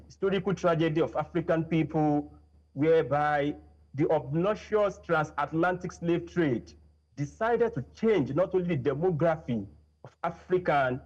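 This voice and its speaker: A Nigerian man, a native Yoruba speaker, speaking as a guest on a panel in a slow, deliberate way that stretches words for emphasis. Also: on the high side, a little mellow, dull audio. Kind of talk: studio panel discussion.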